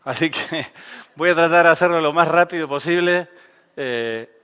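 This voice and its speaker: A man's voice: a little high, moderate pace, a bit bright, smooth. A man with an Argentine accent giving a casual, joking conference talk on stage. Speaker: conference speaker. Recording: reverberant event hall.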